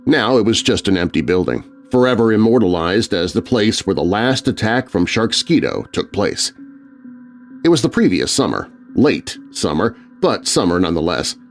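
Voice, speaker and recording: An American man narrates a spooky children's tale in a lowish voice. His delivery is dramatic and suspenseful, with highly varied intonation, and music plays softly underneath. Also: sing-song, normal speed.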